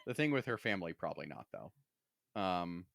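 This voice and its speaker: Low-voiced man in casual podcast chat. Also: big pitch swings, normal speed, creaky, a bit bright, no echo, clean studio sound. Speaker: podcast co-host. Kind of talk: casual chat, book discussion.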